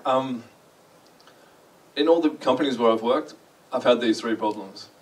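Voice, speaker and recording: A man's voice: medium pitch, measured pace, distant mic, rough recording. Australian man giving a casual conference talk. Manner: chatty, self-deprecating, asks the audience questions. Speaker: conference speaker. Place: large dark lecture hall.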